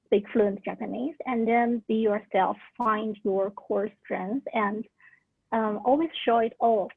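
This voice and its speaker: Young woman, English speaker, earnest career-advice talk, husky, tinny phone-like call audio. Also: on the high side, fairly even intonation, takes it slowly, dry recording. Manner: earnest, with many "like" and "uh" fillers. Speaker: alumna sharing career tips. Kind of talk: casual talk at a virtual orientation. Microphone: video call.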